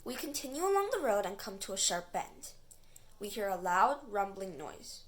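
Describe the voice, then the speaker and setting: A woman reading a children's book aloud in an expressive, dramatic way. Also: mid-range voice, normal speed. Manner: animated, dramatic dialogue. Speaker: storybook reader.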